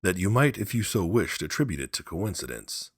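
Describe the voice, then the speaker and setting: A man's voice: deep voice, sing-song, brisk, slightly rough, no room sound, clean studio sound, heavily acted. A man playing an earnest, stubborn old husband and father in a storybook reading.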